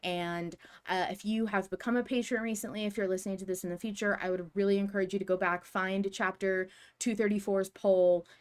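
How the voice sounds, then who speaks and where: A woman's voice: mid-range voice, fairly even intonation, fairly quick, hardly any pauses, clean tone. A woman co-hosting a fan podcast in casual chat, with an enthusiastic, giggly manner. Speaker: podcast co-host.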